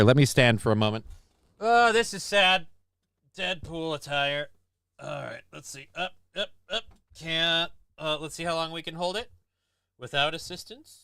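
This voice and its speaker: Male stream host, middle pitch, smooth clear tone, crude jokey banter into a dry close mic. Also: a bit bright, no room sound. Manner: profane, joking, reads viewer comments aloud; mock-grand war speech delivery. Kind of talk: casual live stream chat. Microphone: desk microphone.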